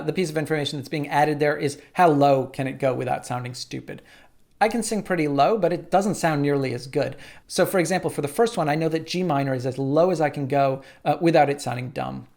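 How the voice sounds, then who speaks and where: A man casually explaining his songwriting workflow in a relaxed tutorial, with frequent "uh" and "like". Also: a little high, gravelly. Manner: relaxed, lots of "uh" and "like".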